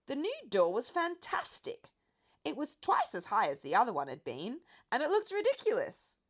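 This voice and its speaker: A woman narrating a children's audiobook in an expressive storytelling style. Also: medium pitch. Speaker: audiobook reader.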